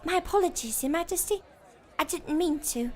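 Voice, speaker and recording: Brief: a timid high-voiced young serving girl. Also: moderate pace. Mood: eager. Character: timid young female servant. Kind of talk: audio drama acting.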